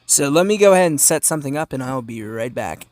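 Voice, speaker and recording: Man, informal gadget reviewer, medium pitch, repeats himself while thinking aloud, close dry sound. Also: expressive, quick pace, a little mellow, no room sound. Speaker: gadget reviewer and channel host. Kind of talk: casual hands-on product review.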